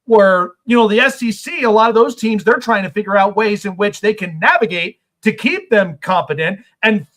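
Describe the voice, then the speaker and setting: Lively high-voiced sports show host. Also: male voice, normal speed. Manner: rambling questions with many fillers. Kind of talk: casual sports talk.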